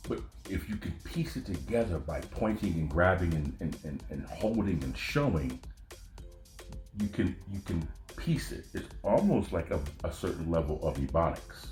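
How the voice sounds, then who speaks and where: A man with a very low, dark voice delivers a blunt, profane monologue in a casual podcast style. He is from Northern California. His voice echoes in the room, and faint music plays behind him. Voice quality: profane, conversational rant. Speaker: podcast host.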